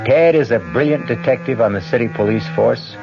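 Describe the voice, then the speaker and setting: A man's voice: mid-range voice, fairly quick, gravelly, over music. A man giving a suspenseful scripted introduction to a radio mystery, on a dull vintage recording. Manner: suspenseful storytelling. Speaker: episode narrator. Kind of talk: scripted dramatic narration.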